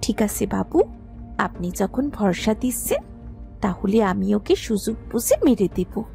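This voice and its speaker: A woman's voice: mid-range voice, expressive, unhurried, creaky, dark tone. A woman playing a stubborn, conniving younger village wife in a cartoon story, deferential yet determined. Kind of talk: scripted cartoon story acting. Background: music.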